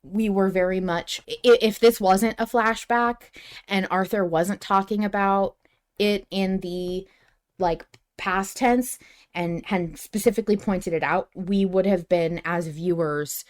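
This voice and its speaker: A woman with a medium-pitched voice talks as a podcast host in a loose fan discussion. She is animated and emphatic, circling back over her own phrases to drive them home. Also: a little slow. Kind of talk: casual fan discussion of an audio drama.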